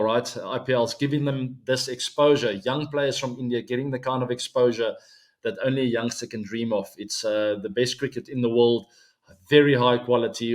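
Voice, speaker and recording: Man, South African accent, 40s, Afrikaans first language, solo cricket show host, relaxed chatty talk with many ums. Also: medium pitch.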